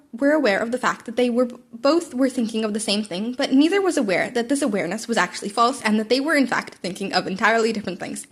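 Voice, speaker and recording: A woman's voice: on the high side, husky. A woman reading fan fiction aloud as an amateur narrator, with wry, formal asides to the listener. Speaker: amateur audiobook reader.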